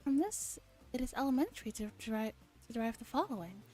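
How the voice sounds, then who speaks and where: A woman voicing a proud, sharp-tongued young woman assistant in a visual novel, curt and argumentative. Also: a little high, big pitch swings, fast, faint music behind. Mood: indignant.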